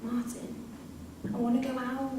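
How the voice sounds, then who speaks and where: A woman's voice: high-pitched, monotone, unhurried. A woman playing a weary, placating middle-aged prisoner's wife and worried mother in a staged play reading, hesitant and plain-spoken. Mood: exasperated. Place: indoor performance venue, recorded from the audience's distance. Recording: echoey hall, distant mic, faint hiss.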